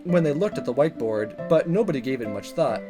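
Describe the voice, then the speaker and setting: Casting: male let's player, animated delivery, reading and recapping a visual novel over music. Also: mid-range voice, fairly quick. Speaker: let's play host. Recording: music playing under the voice.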